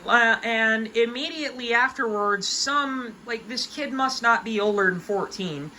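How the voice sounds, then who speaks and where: A young woman around twenty with a bright, forceful voice that sits a little high. She talks off the cuff to viewers in an emphatic, folksy, colloquial rant, with faint noise behind her. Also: a little slow, poor audio. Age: about 20. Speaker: hobby collector giving advice. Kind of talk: casual unscripted rant to viewers.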